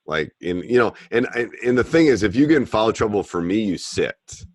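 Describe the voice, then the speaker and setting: A middle-aged man with a deep voice, a basketball coach and podcast host, talking in a relaxed, rambling, plain-spoken way. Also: fairly quick, no room sound, studio quality. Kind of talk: casual coaching Q&A call.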